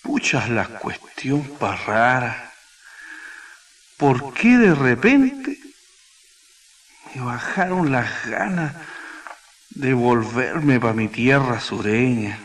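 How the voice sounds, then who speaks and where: An actor playing a homesick, plain-spoken Chilean miner from the south, talking in rustic, musing colloquial speech in a radio drama. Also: male voice, medium pitch, big pitch swings, extremely slow, long pauses, fairly bright tone, far from the mic, exaggerated voice, rough recording. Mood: puzzled, musing.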